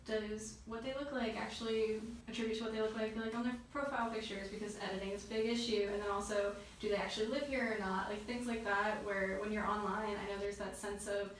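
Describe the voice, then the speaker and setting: A young adult woman giving conversational answers as an interviewee. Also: medium pitch. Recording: very echoey room, far mic, faint noise.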